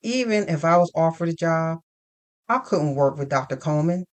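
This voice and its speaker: A woman narrating first-person audio fiction in a dramatic, deliberate storytelling manner. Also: sits low, lively intonation.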